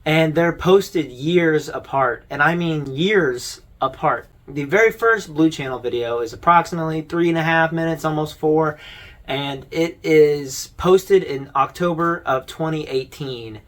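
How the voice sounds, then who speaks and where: Man, slightly high pitch, video essay host, informal commentary, conversational, explanatory. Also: a little slow, fairly bright tone.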